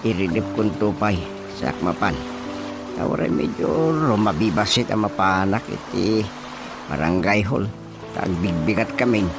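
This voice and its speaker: A man's voice: on the low side, lively intonation, measured pace. A man playing a husband going out on night patrol in a radio drama. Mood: matter-of-fact. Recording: music behind voice.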